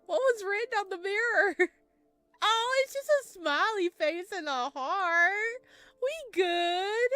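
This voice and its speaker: An excitable woman reacting aloud to a game she is playing, with music behind her. She jokes playfully as she goes, in a middling, unhurried voice. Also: very high-pitched, no echo. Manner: excitable, joking. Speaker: let's-player reading a visual novel aloud. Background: background music.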